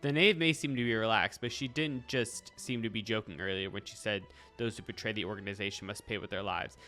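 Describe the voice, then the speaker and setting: A man's voice: lowish voice, fairly even intonation, brisk, sharp tone. A man giving casual game reaction commentary, muttering incredulous asides and repeating himself. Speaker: reaction video host. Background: faint game music.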